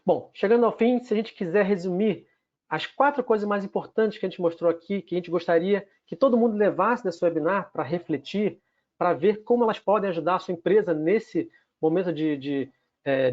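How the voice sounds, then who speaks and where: Carioca man, high voice, methodical webinar presenter, muffled lo-fi stream. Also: fairly quick. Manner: structured, lists numbered takeaways.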